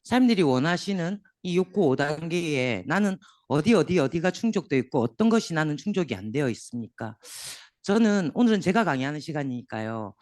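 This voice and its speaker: A man delivers a motivational seminar talk to business owners. His throat is sore and his voice comes out hoarse. He keeps his pitch lively and animated and teases the audience with questions, and the sound is dry and close. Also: brisk, dry recording. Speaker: seminar lecturer. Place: lecture room in front of an audience.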